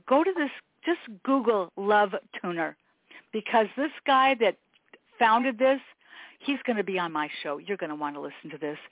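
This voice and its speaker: A woman hosting her own podcast, chatting warmly with a guest in an upbeat, talkative way. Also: mid-range voice, no room sound. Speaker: podcast host. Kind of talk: interview with scripted intro. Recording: thin phone-like sound, dry.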